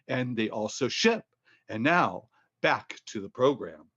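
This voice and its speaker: Male announcer for sponsor spots, mid-range and animated, slightly dull recording. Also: medium pitch. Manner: promotional, measured. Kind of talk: scripted radio advertisement read.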